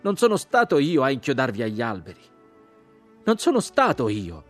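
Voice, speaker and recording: A man's voice: low-pitched, fairly quick, dark tone, husky, soft background music. A man playing a horrified lone rider in a literary story, crying out and imploring desperately. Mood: desperate protest. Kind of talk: dramatized literary audiobook.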